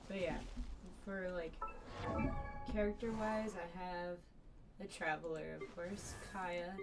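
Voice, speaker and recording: A woman's voice: fairly low pitch, fairly even intonation, slow. A woman chatting casually as she streams a game, rambling and thinking aloud as she plays. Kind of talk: casual livestream gaming chat. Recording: distant mic under loud game music. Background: loud video game music.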